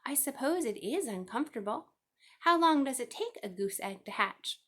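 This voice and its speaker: A woman's voice: high-pitched, expressive, normal speed, a bit bright, voice pushed up. A woman voicing an earnest, anxious young male pig, polite and naive, in a children's storybook. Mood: curious. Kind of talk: children's storybook read-aloud.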